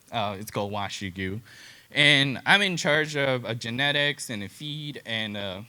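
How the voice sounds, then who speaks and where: A man with a Japanese accent speaks in a bright, forward voice at a middling pitch. He gives an informal slide presentation as a specialist, in simple, halting non-native English. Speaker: cattle genetics specialist, presenter.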